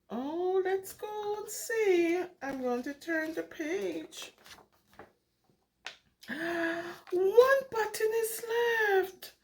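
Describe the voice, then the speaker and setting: A woman reading a picture book aloud to young children in an exclamatory, singsong way, often putting questions to the listeners. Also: very high voice. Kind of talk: animated interactive read-aloud for young children.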